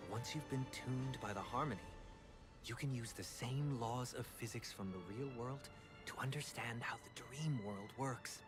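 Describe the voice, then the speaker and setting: A man playing a courteous, self-assured gentleman guide in a video game, formal and given to explaining. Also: mid-range voice, moderate pace, close to an everyday voice. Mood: polite, explanatory. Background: game music.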